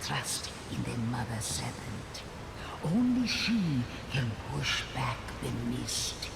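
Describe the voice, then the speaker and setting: A man voicing a solemn ancient ancestral spirit in a video game, grave and measured in delivery. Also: mid-range voice, very animated, creaky, background noise, heavily acted. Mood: solemn concern. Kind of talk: dramatic video game voice acting. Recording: echoing voice in loud ghostly ambience.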